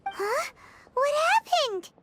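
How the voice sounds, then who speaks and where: A woman voicing a tiny, curious, excitable floating companion creature with a squeaky childlike voice in a video game. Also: takes it slowly, noisy background, heavily acted. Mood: curious, surprised. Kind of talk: video game character acting. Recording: music playing behind the voice.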